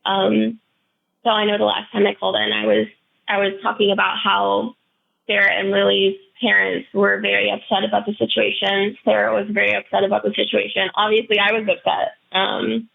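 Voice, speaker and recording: Hoarse woman calling in over the phone. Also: medium pitch, little pitch movement. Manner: halting, earnest, many restarts and fillers. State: losing her voice, froggy. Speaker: caller. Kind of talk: phoned-in personal update. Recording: tinny phone line.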